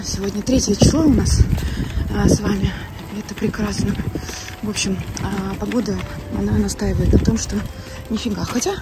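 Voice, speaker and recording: A woman in her thirties whose first language is Latvian, chatting in a casual vlog from her car with many filler sounds and pauses. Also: mid-range voice. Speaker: vlogger. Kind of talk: casual vlog talk to camera. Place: inside a car, then a clothing store. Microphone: phone camera held by hand.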